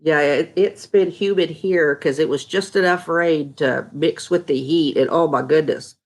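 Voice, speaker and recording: Woman, middle-aged, stuffed-up nasal voice, lowish, easygoing live-stream host chatting with viewers. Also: measured pace. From Texas. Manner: chatty, greets viewers by name. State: stuffy, congested nose. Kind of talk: casual live chat reading viewer comments.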